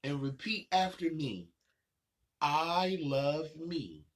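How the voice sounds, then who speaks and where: High-voiced, slow-talking man leading kids' exercises. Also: big pitch swings. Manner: encouraging, drawn-out instructions. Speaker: children's show host leading warm-up exercises. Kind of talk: guided movement session for children.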